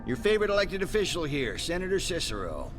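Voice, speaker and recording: An actor playing a vain, foul-mouthed corrupt American politician in a video game, with a pompous, swaggering delivery. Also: male voice, fairly high pitch, huge pitch swings, fast, over loud music. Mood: smug, slick. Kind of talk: video game character acting. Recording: long reverb.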